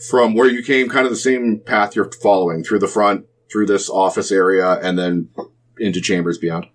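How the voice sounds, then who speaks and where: A man narrating a tabletop role-playing game as game master, explaining scenes in a halting, explanatory way. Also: on the low side, steady tone.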